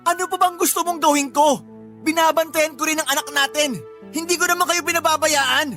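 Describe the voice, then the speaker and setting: Radio drama casting: defensive young father, high strained-up pitch, argumentative, exasperated, soft music behind. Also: fairly even intonation, fairly quick, full character voice. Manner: pleading, argumentative. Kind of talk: Filipino radio drama acting.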